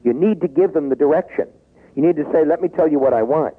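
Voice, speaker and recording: A man in his sixties talking in a taped interview, acting out quoted pleas and dropping low when he turns reflective. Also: fast. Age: 60s. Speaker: business author guest. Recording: tinny phone-like tape.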